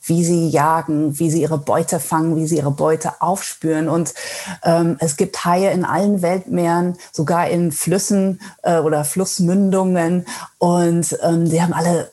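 A woman from the Netherlands, raised bilingual in Dutch and German, talks in an interview with a low, slightly husky voice. She speaks with eager enthusiasm and plenty of fillers, as a guest describing her filmmaking. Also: fairly even intonation, measured pace, warm tone. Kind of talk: casual interview. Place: remote from Sweden.

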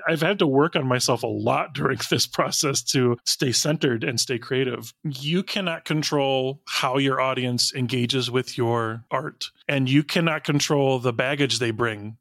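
A man's voice: mid-range voice, measured pace, creaky, few pauses, a bit bright, dry recording, studio quality. A man speaking earnestly and candidly as a guest in a reflective interview about his creative work. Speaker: guest, audio drama writer and director.

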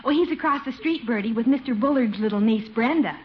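An actress playing a fond, supportive young niece in a 1950s radio sitcom. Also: female voice, on the high side, a little fast, natural voice. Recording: dull, noisy old radio recording.

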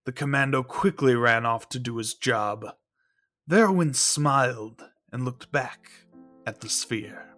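Male narrator, mid-range pitch, unhurried, dramatic, scripted audio drama, soft music bed. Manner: measured, dramatic.

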